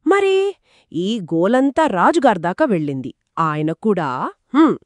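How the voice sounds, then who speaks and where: A woman narrates a children's folktale in a conversational style. Her pitch sits in the middle and she addresses the young listeners directly and expressively. The sound is very dry, with no room on it. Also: very animated, a little slow, no room sound. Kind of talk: conversational two-person storytelling for children.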